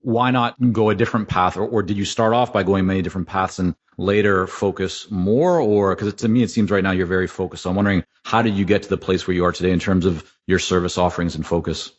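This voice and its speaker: Deep-voiced male podcast host. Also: fairly even intonation, quick pace, few pauses. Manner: long, winding questions. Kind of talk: interview questions. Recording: dull, muffled sound.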